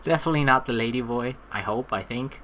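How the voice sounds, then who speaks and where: A man's voice: medium pitch, fast, bright, forceful tone, poor audio. A man giving casual, rambling, joking commentary as he hosts a video game playthrough, swearing now and then. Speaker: let's play host. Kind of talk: casual gaming commentary with read-aloud game text. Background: background music.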